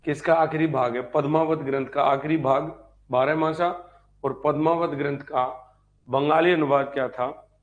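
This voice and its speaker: A man's voice: fairly high pitch, steady tone, unhurried, muffled recording. A man giving an informal online history lecture as a teacher, repeating key points and asking check questions. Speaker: history teacher for exam preparation.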